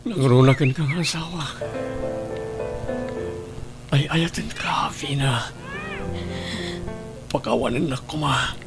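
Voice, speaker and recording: Man acting a slow, pleading, remorseful husband. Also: mid-range voice. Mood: tearful, remorseful. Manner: halting, pleading. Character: remorseful, pleading husband begging his wife's forgiveness. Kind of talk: emotional audio drama acting. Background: music behind the voice.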